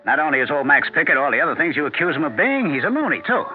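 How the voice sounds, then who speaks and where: An actor playing a paranoid, bitter husband in a 1970s radio drama, suspicious and sardonic. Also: male voice, on the high side, sing-song, very fast, faint music behind. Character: paranoid, bitter husband who suspects everyone plots against him. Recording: band-limited, tinny 1970s radio broadcast recording.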